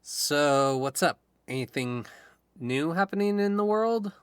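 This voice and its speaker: A man's voice: on the high side, animated, slow pace, smooth, no echo. An actor playing an easygoing, curious young man in an audio drama, chatting in a casual, slangy way. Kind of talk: conversational audio drama acting.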